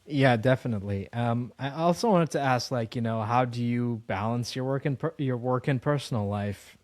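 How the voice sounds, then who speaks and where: A man's voice: medium pitch, moderate pace, darkish tone, dry recording. Man hosting a casual interview, dry close sound. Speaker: interview host.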